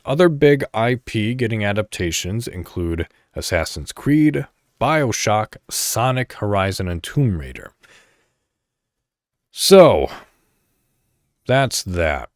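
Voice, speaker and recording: Low-pitched man hosting a podcast. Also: big pitch swings, measured pace, dry recording, studio quality. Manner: stumbles over words and corrects himself. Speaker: podcast host. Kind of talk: reading news articles aloud with casual commentary.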